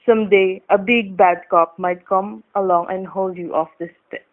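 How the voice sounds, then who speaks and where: A woman's voice: mid-range voice, steady tone, normal speed, natural voice. A woman playing a cheerful young male motorcycle cop in a radio drama, folksy, joking and mock-formal. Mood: friendly, teasing. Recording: tinny, phone-like radio-play recording.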